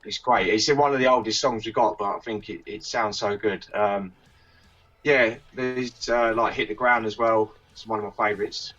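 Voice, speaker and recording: A man sounds a little far from a dull microphone. His voice is fairly low, and he chats hesitantly and loosely in an interview. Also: fairly even intonation, moderate pace, fairly bright tone. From South of England. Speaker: band member being interviewed. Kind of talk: casual chat.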